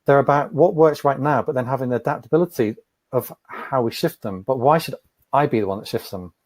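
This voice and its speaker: A man answering interview questions as an entrepreneur, thoughtful and measured, often repeating a word as he starts. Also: medium pitch, a little mellow, no echo, studio quality. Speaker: podcast guest, entrepreneur.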